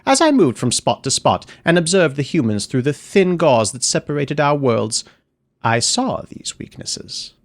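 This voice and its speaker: A man narrating a dark fairy tale in the first person, with expressive, dramatic storytelling. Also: fairly high pitch, a little slow. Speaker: audiobook narrator.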